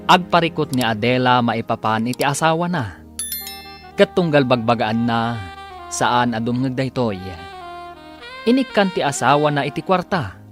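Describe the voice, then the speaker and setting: A man narrating and announcing a radio drama in a deliberate, drawn-out, scripted style. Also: medium pitch.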